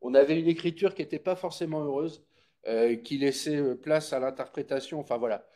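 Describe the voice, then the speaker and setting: Guy explaining stuff at a public meeting, kinda dark mellow voice, informal but teachery. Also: on the high side, fairly quick. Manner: informal, didactic, checks understanding with listeners. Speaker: presenter at a public consultation meeting. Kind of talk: explanatory public presentation with question-taking.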